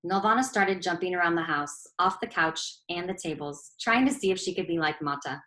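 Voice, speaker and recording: A woman reading a children's picture book aloud as a storyteller, expressive and clearly phrased. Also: fairly low pitch, fairly quick, rough recording.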